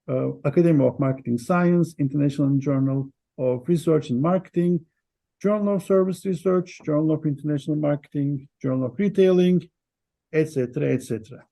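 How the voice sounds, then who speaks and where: A male interviewer with a slightly higher-pitched voice that carries a gravelly edge. He speaks as a host, moving between prepared introduction and questions in a mellow, relaxed tone.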